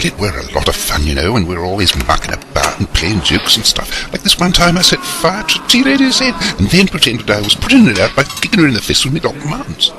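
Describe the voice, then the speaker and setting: A man's voice: medium pitch, noisy background. A man voicing a crude, boastful young male actor. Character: boorish young male actor recalling pranks.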